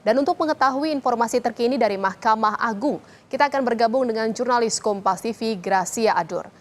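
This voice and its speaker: A woman's voice: on the high side, a little fast. A woman news anchor reading a scripted news report.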